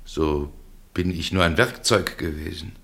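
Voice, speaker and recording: Deep-voiced, despairing reluctant killer. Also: male voice, unhurried, gravelly, a bit bright, exaggerated voice. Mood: stunned, bleak. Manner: solemn, weighty short statements. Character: Pedro, grave man turned unwilling murderer, despairing. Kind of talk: 1960s German radio play acting.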